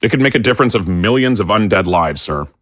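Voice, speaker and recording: An actor playing an army general who argues firmly and formally for striking first, in a radio drama. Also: male voice, lowish voice, lively intonation, fast, fairly bright tone, no echo, clean studio sound. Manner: firm, formal, clipped. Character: hawkish male army general, deferential to superiors.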